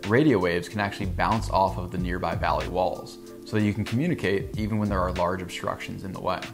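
Young guy doing a scripted how-it-works talk, steady and clear, music under it. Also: low voice, quick pace. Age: young adult. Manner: clear, even, informative delivery. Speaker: company presenter. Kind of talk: scripted product explainer.

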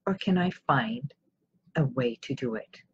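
A woman's voice: rumbling low, expressive, unhurried, lo-fi sound, slight background noise. A woman in her fifties from Iran, a native Armenian speaker, gives an informal motivational talk to camera as host, explaining emphatically with rhetorical questions. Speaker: host of a self-help live show.

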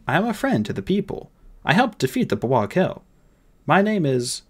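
Man voicing an earnest, urgent boy schemer, raised pitch. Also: very animated, normal speed. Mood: careful. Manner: urgent, formal, persuasive. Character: clever, earnest human boy schemer, pleading.

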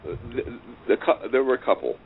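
A middle-aged man speaking as an interview guest, reflecting casually in a halting, thoughtful way. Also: medium pitch, sing-song, vocal fry. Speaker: interview guest, radio drama writer. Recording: noisy, muffled phone-like line.

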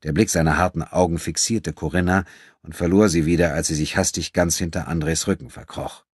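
A man's voice: low voice, fairly even intonation, a little fast, slightly rough, dry recording, studio quality. A man reading a novel as a scripted, dramatic audiobook narration.

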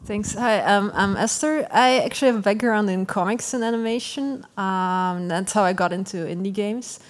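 A woman's voice: mid-range voice, moderate pace, a little hiss or hum. A woman introducing herself on a live panel in a matter-of-fact way. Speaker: panelist, comics artist and translator.

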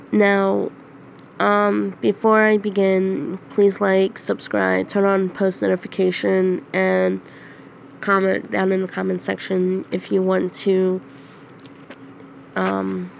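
A woman with a head cold, sounding nasal and hoarse, talking to her channel's viewers in a slow, halting casual announcement. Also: mid-range voice, darkish tone, highs cut off, slight background noise. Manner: slow, halting, with frequent throat clearing and coughs. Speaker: channel host. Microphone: phone screen recorder.

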